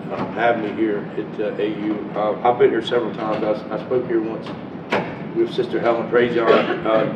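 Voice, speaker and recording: A man's voice: on the low side, noisy background. Froggy-voiced man telling a story. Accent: Maryland. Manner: folksy, animated storyteller. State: froggy voice after bronchitis. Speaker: guest speaker. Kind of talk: storytelling lecture. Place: university lecture hall. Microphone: far room mic. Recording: distant, muffled, echoey hall recording.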